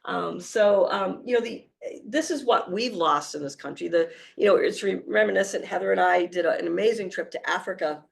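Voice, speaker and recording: Woman, forties, Boston accent, hoarse and gravelly, warm storytelling conference speaker. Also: lowish voice, brisk, hardly any pauses. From Boston area, Massachusetts. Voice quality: gravelly, creaky. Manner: animated, storytelling, frequent fillers. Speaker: wildlife conservation speaker. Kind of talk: public talk with slides.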